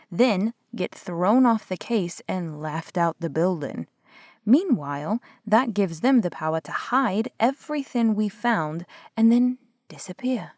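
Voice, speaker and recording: A woman's voice: lowish voice, darkish tone, no echo, clean studio sound. A young woman performing a one-sided audio roleplay as a skeptical investigator, arguing and sarcastic, sometimes dropping to a whisper. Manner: skeptical, argumentative, sarcastic, swears casually.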